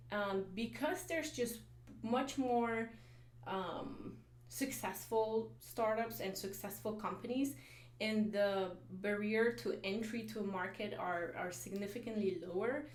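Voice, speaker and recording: Woman vlogging in a roomy echo. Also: medium pitch. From Poland. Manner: earnest, thinking aloud with fillers and restarts. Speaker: career advice vlogger, software engineer. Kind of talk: casual explanatory vlog talk.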